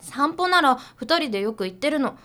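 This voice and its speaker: Woman acting a bright high-voiced perky idol. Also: expressive, normal speed. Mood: confiding. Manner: girlish, breezy, familiar. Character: perky, pushy young woman underground idol. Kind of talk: radio drama acting.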